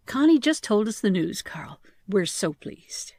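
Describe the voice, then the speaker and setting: A woman's voice: mid-range voice, sing-song, unhurried, mellow, dry recording. A woman playing a kindly, doting grandmother with a genteel, affectionate manner in an old-time radio drama. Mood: tender, polite.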